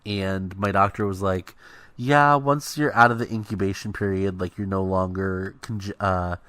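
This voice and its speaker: Young male co-host, stuffed-up nasal voice, lowish pitch, dry close mic. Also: steady tone, moderate pace, a bit bright, smooth, no echo. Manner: chatty, trails off searching for words. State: congested with a cold. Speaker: podcast co-host. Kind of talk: casual chat.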